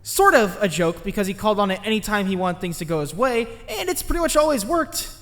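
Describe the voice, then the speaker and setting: A man's voice: high voice, expressive, fast, fairly bright tone, in a large hall. A man playing an earnest, intense teenage boy who muses about fate in a comic dub. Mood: musing narration. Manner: earnest, musing, introspective. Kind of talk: comic dub acting with first-person narration.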